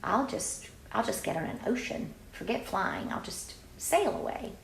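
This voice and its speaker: A woman's voice: fairly high pitch, sing-song, moderate pace, creaky, reverberant, a little hiss or hum, pitched above the performer's own voice, poor audio. A woman voicing an eager, defiant little runaway bunny from a storybook, boldly declaring its plans. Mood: determined.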